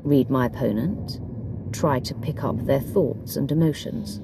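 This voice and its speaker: An actress playing a composed, commanding female dark warrior in a video game, speaking in a measured, dry, terse way. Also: a bass voice, gravelly, background noise, exaggerated voice. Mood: cool, appraising. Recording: music behind the voice.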